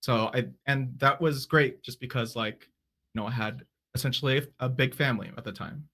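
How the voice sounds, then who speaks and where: A man's voice: medium pitch, brisk, bright, forceful tone. Casual, rambling male panelist. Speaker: panelist, drag king streamer.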